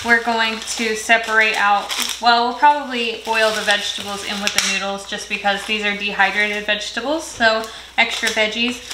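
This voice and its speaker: A woman's voice: mid-range voice, flat delivery, normal speed. A young woman hosting a cooking video in her home kitchen, explaining each step in a friendly, chatty way. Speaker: cooking channel host. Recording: echoey kitchen with sizzling pan noise. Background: frying and stirring sounds.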